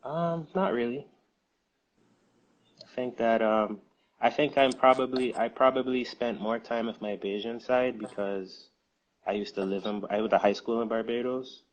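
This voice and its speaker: A man answering interview questions in a relaxed, hesitant way, with many fillers and restarts. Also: medium pitch, normal speed, highs cut off. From United States. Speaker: guest, music distribution co-founder.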